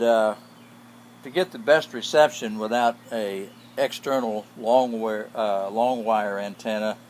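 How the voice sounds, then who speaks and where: Guy with a lowish voice showing off his hobby project, halting and plain, some hum and noise behind him. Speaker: hobbyist radio restorer. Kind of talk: casual unscripted demonstration. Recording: clear background noise with hum.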